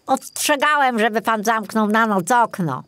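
A small, cheeky rat from a children's story, acted by a woman. He is greedy and pitched high, with a bouncy, animated range. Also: a little slow. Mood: hinting.

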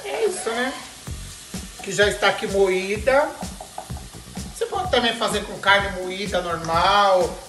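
A woman's voice: medium pitch, lively intonation, slow, long pauses. A middle-aged woman with a Brazilian Portuguese accent hosts a casual cooking demonstration in her home kitchen. She talks in a flamboyant, campy way, full of slang and playful exclamations. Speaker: cooking show host. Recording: echoey kitchen with music behind.

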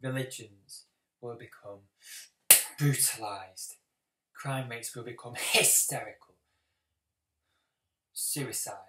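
A man performing a dramatic audition monologue as a bitter, apocalyptic schoolboy, speaking deliberately with heavy pauses. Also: mid-range voice. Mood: grim foreboding. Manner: deliberate, heavy pauses, swelling from hushed to forceful. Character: bitter, brooding, apocalyptic schoolboy confronting a bully. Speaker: actor auditioning. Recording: roomy, distant microphone.

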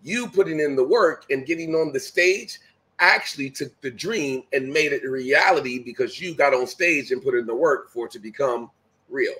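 A man's voice: medium pitch, normal speed, fairly bright tone, rough recording. A middle-aged man guesting on a video call, talking in an emphatic, preachy motivational way and repeating his key phrases. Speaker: guest speaker. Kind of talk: motivational conversation. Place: home room, video call.

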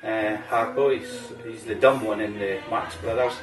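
A middle-aged man with an Aberdeen Scottish accent, hosting a relaxed cigar review chat at a home bar and using local words like "wee" and "aye". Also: lowish voice, moderate pace. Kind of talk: casual chat. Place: home bar room, indoors. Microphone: camera mic at table distance. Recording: roomy, distant camera mic, faint music.

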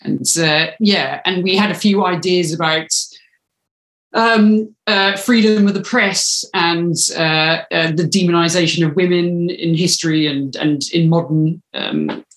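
A woman's voice: fairly low pitch, measured pace, a bit bright. A British woman in her thirties, chatting casually as an interviewed writer. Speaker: interviewed audio drama writer. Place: small room indoors. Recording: echoey room on video call.

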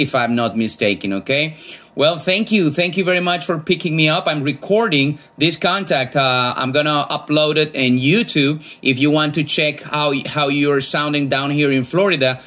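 A man's voice: a little high, a bit bright. Chatty man in his forties on ham radio. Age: 40s. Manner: friendly, chatty, enthusiastic about old radios. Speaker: amateur radio operator. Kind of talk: casual ham radio chat.

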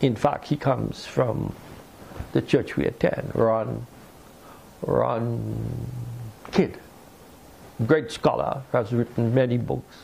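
Slow, gravelly older male preacher. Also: medium pitch, fairly even intonation, darkish tone, a little hiss or hum, poor audio. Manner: slow, deliberate preaching with pauses. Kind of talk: sermon. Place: small indoor room by a fireplace mantel.

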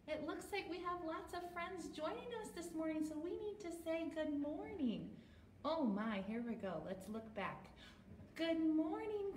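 A young American woman speaking as a storytime host for toddlers, in an animated, sing-song, exaggerated child-directed way. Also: on the high side. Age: young adult. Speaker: children's librarian hosting storytime. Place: small bare room indoors at home. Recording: roomy, distant mic, background noise.

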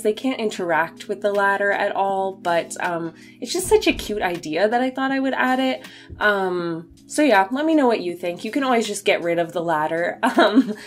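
A woman who is a bit sick, narrating a game build video in a casual, chatty, self-deprecating way. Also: mid-range voice. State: sick, voice slightly off. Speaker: gaming video creator, narrator. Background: background music under voice-over.

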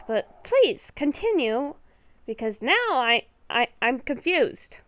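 A stubborn young woman , acted by a woman argues in a high voice, whiny and exasperated. She speaks unhurriedly and emphatically in an improvised game roleplay, recorded dry. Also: sing-song, takes it slowly, fairly bright tone, no room sound, heavily acted. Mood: annoyed, confused.

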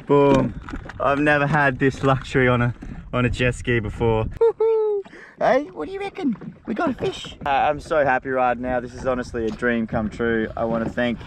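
A young Australian man vlogging from a jet ski at sea, talking with excited, slangy enthusiasm straight to his viewers through an action camera held at arm's length. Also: mid-range voice, normal speed. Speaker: spearfishing vlogger. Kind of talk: excited vlog talk to camera. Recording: wind and water noise outdoors.

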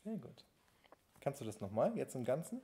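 A woman with a husky voice, patiently coaching a child to repeat lines in a casual, amused way. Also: rumbling low, big pitch swings, normal speed, mellow.

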